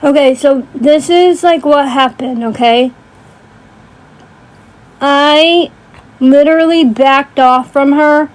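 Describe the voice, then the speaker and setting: A woman's voice: sits high, slow, long pauses, low-quality recording. A woman ranting casually on a livestream in a blunt, sweary way, often checking in with emphatic tag questions. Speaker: livestream host.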